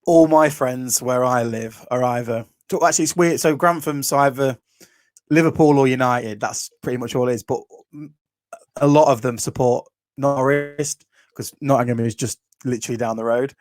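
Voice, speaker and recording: A man's voice: mid-range voice, normal speed, no echo. A man in his thirties, a podcast host, telling his story in casual chat, laughing easily. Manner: laughs easily, restarts, acts out quotes.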